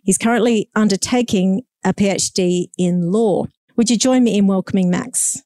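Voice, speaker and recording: A woman's voice: medium pitch, moderate pace, dark tone, no room sound, clean studio sound. A woman hosting an evening lecture, introducing the speaker and asking him friendly questions. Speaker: event host. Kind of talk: introduction and interview.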